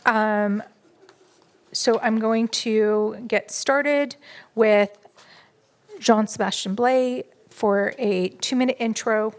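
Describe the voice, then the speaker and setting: A woman moderating a public candidates forum, reading out names and explaining the format in a steady, organized way. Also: on the high side, slow, smooth. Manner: steady, organized announcing with fillers.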